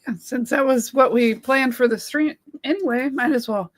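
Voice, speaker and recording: A woman's voice: high voice, unhurried, a little mellow. A woman co-hosting a casual livestream, chatty and giggly, thanking viewers as she goes, her voice starting to give out. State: losing her voice. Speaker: livestream co-host.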